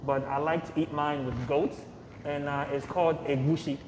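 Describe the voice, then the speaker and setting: A man in his thirties, raised in Nigeria, answering a podcast host's questions with a fairly high voice and plenty of "uh" and "you know". The room is echoey with a long reverb, and clear ambient noise runs underneath. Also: fairly even intonation, normal speed. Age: 30s. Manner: soft-spoken, hesitant, many fillers, short yes answers. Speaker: podcast guest. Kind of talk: casual interview answers.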